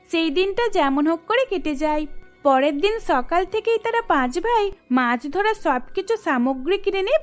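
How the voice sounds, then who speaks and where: A woman reads scripted narration for a cartoon family tale. She works as the storyteller, with soft music running beneath her voice. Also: very high voice. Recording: background music under voice.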